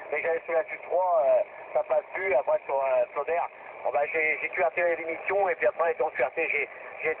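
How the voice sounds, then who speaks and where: A man with a French accent chatting casually as a radio operator, rambling and hesitant, repeating himself. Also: on the high side. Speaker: amateur radio operator driving a vehicle. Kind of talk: casual two-way radio chat. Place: moving vehicle. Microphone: radio microphone. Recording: narrow, noisy two-way radio from vehicle.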